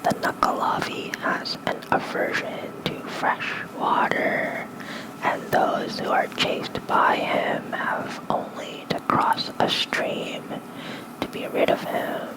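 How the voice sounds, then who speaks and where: An ASMR creator softly whispering a bedtime lore reading with casual asides, gentle and breathy, close on a fluffy-covered mic. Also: rough recording. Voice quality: soft breathy unvoiced whisper. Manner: slow, gentle, unhurried.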